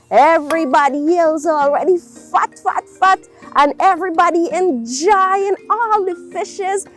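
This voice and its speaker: A woman telling a children's folktale in a dramatic way, repeating words for emphasis. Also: sits high. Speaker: storyteller. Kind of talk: animated children's folktale storytelling.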